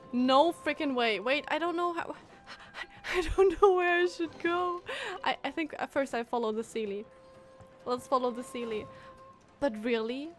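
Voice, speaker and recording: A woman gives casual gameplay commentary as a streamer. Her voice is fairly high and she reacts emotionally and exclaims often. Faint game music runs behind her.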